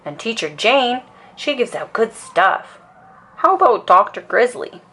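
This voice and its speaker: A woman's voice: a little high, big pitch swings, unhurried, bright, forceful tone, husky, voice pushed up, full character voice. A woman voicing an eager, confident young boy bear cub from a storybook, keen and emphatic. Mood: planning.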